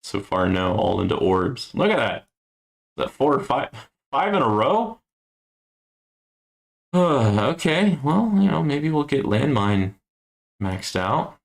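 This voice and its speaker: A man giving casual gameplay commentary on a stream, thinking aloud in a low-key, musing way with a gravelly voice. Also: big pitch swings, takes it slowly, pauses often. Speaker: game streamer.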